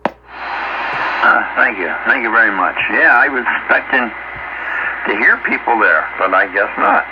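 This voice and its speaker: A man with a lowish voice chats in a folksy, musing way, often repeating himself. He is heard over a two-way radio, with dull, narrow sound and clear noise throughout. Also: sing-song, measured pace. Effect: two-way radio transmission. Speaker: amateur radio operator. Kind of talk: casual radio chat. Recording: noisy, band-limited two-way radio.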